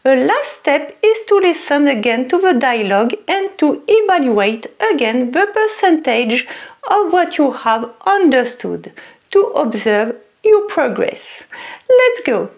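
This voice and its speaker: A woman with a French accent gives a lesson in a high, bouncing voice. She talks very slowly and over-articulates, repeating words and phrases so learners can follow. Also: sing-song. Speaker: French teacher. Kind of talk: slow, clear lesson narration in English and French.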